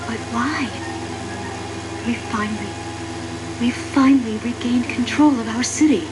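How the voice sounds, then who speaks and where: An actress playing a gentle, earnest mother who survived a war, in the dramatic narration and dialogue of a video game cutscene. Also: fairly high pitch, measured pace, natural voice, poor audio. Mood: alarmed, pleading. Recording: music bed under the voice. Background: loud cinematic music.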